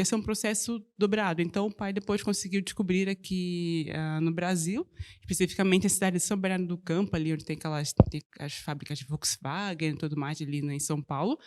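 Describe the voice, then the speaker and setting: A woman in her forties from Portugal, a studio interview guest telling her story in a relaxed, chatty way with frequent tag questions. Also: medium pitch. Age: 40s. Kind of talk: casual interview talk.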